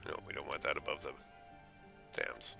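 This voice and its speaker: A man's voice: rumbling low, fairly even intonation, normal speed, creaky, over music. A man giving casual live gameplay commentary, thinking aloud, muttering to himself and tossing playful asides to the characters. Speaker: streamer playing a game.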